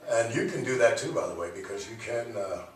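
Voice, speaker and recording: A man's voice: lowish voice, expressive, fairly quick. A man hosting a local radio talk show, chatting casually in a joking, teasing, playful way. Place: radio studio. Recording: echoey room, distant mic, faint noise.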